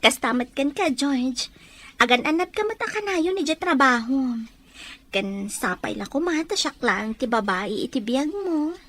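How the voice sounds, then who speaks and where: A woman's voice: sits high, expressive, unhurried, fairly bright tone, heavily acted. A woman with a Filipino accent playing a flirtatious, scheming farm wife and mother in an audio drama. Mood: teasing, suspicious. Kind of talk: Ilocano audio drama acting.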